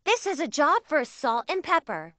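A woman's voice: high-pitched, very animated, moderate pace, fairly bright tone, no room sound, voice pushed up. A woman voicing a polite, dramatic female onion in a sing-song storybook voice. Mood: bright greeting.

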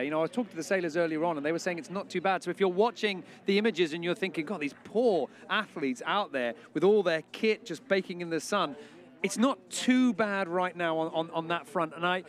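A man's voice: high voice, brisk. A man hosting live sailing coverage from the shore, talking through conditions and the standings. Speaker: sailing commentator and host. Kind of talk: live sports commentary. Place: on the shore at a hot beach venue.

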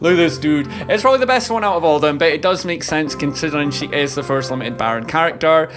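A man's voice: on the high side, fast talker, fairly bright tone. A man in his thirties giving casual, exclamatory reaction commentary as a streamer, often repeating his phrases. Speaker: reaction video host, streamer. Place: indoor home streaming room. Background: music from the trailers being watched.

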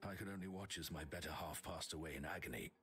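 A man's voice: extremely low pitch, fast, fairly bright tone. A man playing a resigned, grief-stricken doctor in a video game, earnest and defensive. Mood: sorrowful. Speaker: game character.

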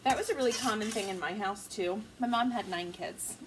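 A woman's voice: mid-range voice, fairly quick, noisy background. A woman in her forties chatting easily as she cooks in her home kitchen, recorded on a counter camera. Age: 40s. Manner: chatty, easygoing, laughs at herself. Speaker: stay-at-home mom vlogger. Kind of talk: casual cook-along chat. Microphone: camera on the counter, low angle. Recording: distant mic with loud kitchen clatter. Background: pans sizzling and cutlery clinking.

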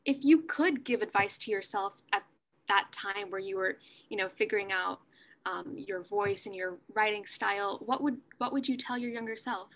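A woman's voice: mid-range voice, normal speed, a bit bright. A young adult woman casually asking interview questions over a video call from a room at home. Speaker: student panelist.

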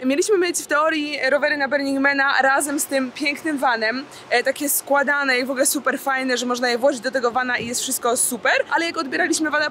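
A young woman chatting casually to the camera in an informal, slangy vlog style. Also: sits high. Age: young adult. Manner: informal, chatty, with slangy English words dropped in. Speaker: vlogger. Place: in and beside a camper van, outdoors. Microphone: handheld camera at arm's length. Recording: noisy on-location vlog sound.

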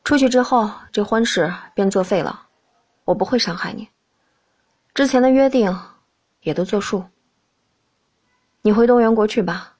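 A woman's voice: mid-range voice, moderate pace, long pauses, darkish tone, voice pushed down, close to an everyday voice. A woman voicing a young crown prince who is cool, haughty and languid, in an audiobook reading. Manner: aloof, languid, terse. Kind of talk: audiobook character acting.

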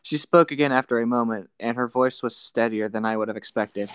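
A man's voice: medium pitch, fairly quick, clean tone, no echo. A man talking casually with friends and reading a novel aloud. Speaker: co-host reading a book aloud for commentary. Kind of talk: casual chat and read-aloud.

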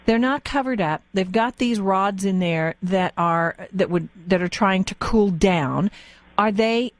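A woman's voice: medium pitch, a little slow, no room sound. An American woman interviewing on the radio in a conversational way, inquisitive and plain-spoken as she checks she understands.

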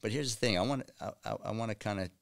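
A man's voice: lowish voice, very fast, vocal fry. A man, hosting a casual studio podcast conversation, emphatic and prone to repeating himself. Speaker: podcast host. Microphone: boom mic on stand.

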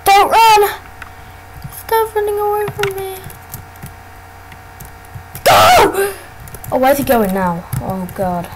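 A woman casually commenting on her gameplay, excitable and often exclaiming. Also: extremely high pitch, slow pace, long pauses, a little hiss or hum, rough recording.

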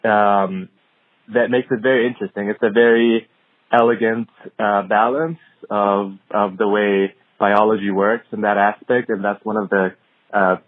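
A man in his thirties from Syria, a physician answering interview questions in a measured, thoughtful way with many pauses and ums. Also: lowish voice, steady tone, dry recording. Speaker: hematology-oncology fellow, podcast guest. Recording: tinny phone-like audio.

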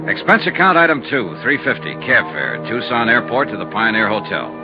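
An actor playing a cool, wry insurance investigator in a 1950s radio drama, speaking in crisp, clipped phrases. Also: male voice, fairly low pitch, big pitch swings, brisk. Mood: dry narration. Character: cool, wry freelance insurance investigator narrating his case. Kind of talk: 1950s radio detective acting. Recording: dull 1950s radio recording with music.

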